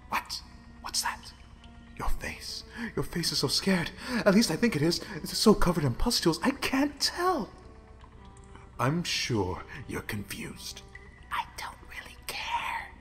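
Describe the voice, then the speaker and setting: A man doing a creepy male plague villain, slow drawn-out delivery, swooping sing-song pitch, hushed menacing whispers, faint music behind. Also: heavily acted. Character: obsessive, contemptuous male plague-breeding villain. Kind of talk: villain roleplay audio drama.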